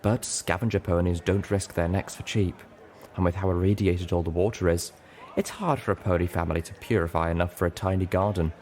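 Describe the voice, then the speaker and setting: A man's voice: sits low, steady tone, fairly quick, a little mellow. A man reading scripted first-person fiction as an audiobook narrator. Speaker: audiobook reader.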